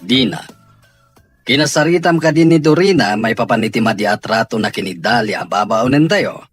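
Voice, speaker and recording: Man acting a polite drama character, medium pitch, husky, very lively intonation, faint music. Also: a little fast. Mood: polite, concerned. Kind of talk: Ilocano audio drama acting.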